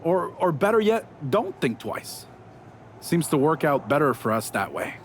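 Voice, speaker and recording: A somewhat high-voiced male wrestling villain gloats through a promo in a video game. He is smug and sarcastic, taunting his rival over clear background noise. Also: very animated, unhurried. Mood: smug gloating. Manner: sarcastic, gloating, stuttering restarts for mockery.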